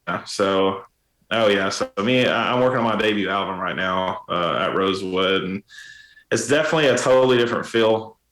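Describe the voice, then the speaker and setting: A raspy man with a somewhat low voice chats casually as a podcast guest. He rambles along in a relaxed way, full of fillers. His voice rings with a roomy echo. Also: brisk. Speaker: musician guest.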